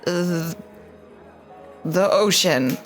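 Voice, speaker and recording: A woman's voice: lowish voice, drawn-out words, over music. A woman playing a tabletop game, who speaks eagerly in character as she describes her moves. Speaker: player.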